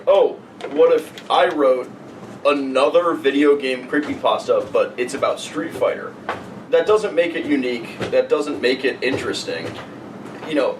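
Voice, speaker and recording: A man's voice: mid-range voice, roomy sound. A man co-hosting a podcast in a blunt, exasperated, sweary and casual way, critiquing as he talks. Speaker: podcast co-host. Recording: noisy, distant room mic.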